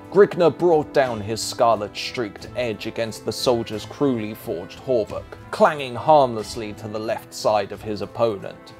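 A man's voice: fairly low pitch, a little mellow. A man giving scripted narration as a video essayist.

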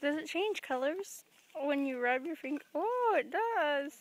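A woman's voice: high voice, expressive, unhurried. A young woman teasing her friend while she films outdoors on a phone held at arm's length. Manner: giggly, teasing. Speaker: vlogger filming. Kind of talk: casual chat. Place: outdoors by a pond in the countryside.

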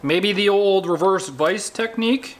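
A man's voice: sits high, measured pace, slight background noise. A Canadian man in his thirties talking in a jokey, slangy way as he hosts a casual workshop vlog from a garage. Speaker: vlog host and fabricator.

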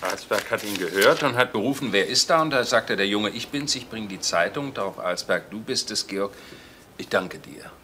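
An actor playing a businesslike police investigator in a radio crime play, factual in questioning and reporting. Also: male voice, on the low side, expressive, moderate pace, bright, forceful tone, a little hiss or hum. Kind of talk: German radio crime drama acting.

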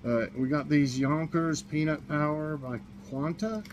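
A man's voice: on the high side, fairly even intonation, measured pace, slight background noise. A man in his fifties chatting casually to camera in a small kitchen, rambling with many ums and restarts as he shows off grocery buys. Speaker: vlogger showing a grocery haul.

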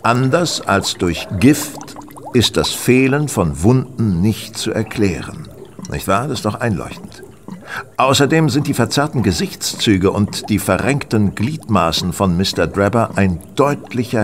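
A man playing a brilliant, eccentric, self-assured detective in a German radio play, with a lecturing and excitable manner. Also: fairly low pitch, unhurried. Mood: confident, lecturing. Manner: theatrical, lecturing, self-satisfied.

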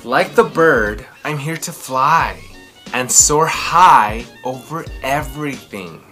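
A man's voice: mid-range voice, animated, takes it slowly, sharp tone, faint music behind. A man in his thirties hosting a children's story time and book review with a theatrical, exaggerated, emphatic delivery. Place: indoors, small room at home.

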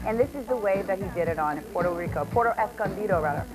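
A woman's voice: mid-range voice, lively intonation, very fast, low-quality recording. An American woman anchoring morning TV news, reading a story in a chatty way. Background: music.

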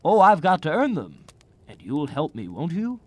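A man playing a gentle, naive old angel in a radio drama, earnest, quaint and a little prim. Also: fairly high pitch, huge pitch swings, normal speed. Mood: hopeful.

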